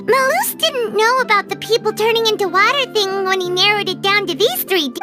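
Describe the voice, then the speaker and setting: A woman's voice: very high voice, animated, fairly quick, music in the background. A woman voicing a small, chirpy, earnest childlike fairy companion in a video game. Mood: curious, pondering.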